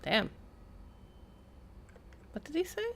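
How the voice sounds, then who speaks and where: Woman streamer with a creaky voice. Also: sits high, big pitch swings, slow pace, pushed and bright, a little hiss or hum. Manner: chatty, thinking aloud. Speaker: video game livestreamer. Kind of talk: casual game stream commentary.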